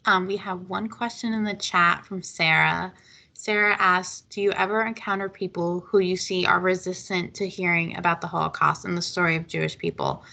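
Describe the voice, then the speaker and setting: A woman's voice: fairly low pitch, steady tone, moderate pace, creaky, bright, forceful tone. A woman moderating an event and reading out audience questions.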